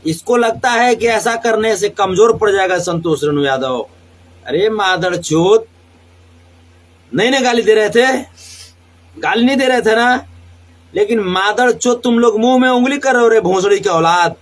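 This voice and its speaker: High-pitched, combative man with Bhojpuri accent. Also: a little slow. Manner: combative, defiant, swearing, repeats phrases for emphasis. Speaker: singer. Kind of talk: angry live social media rant.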